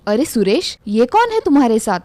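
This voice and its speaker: A woman's voice: on the high side, sing-song, moderate pace, dark tone, dry recording. A woman voicing a scornful, bossy middle-aged mother-in-law in a story, with a nagging, sarcastic manner. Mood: shocked, disapproving. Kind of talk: Hindi moral story dialogue.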